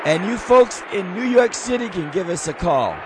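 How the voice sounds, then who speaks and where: A man hosting a live radio talk show, loud and excitable, losing his voice. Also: high voice, moderate pace, crowd noise, noisy background. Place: radio studio with live audience.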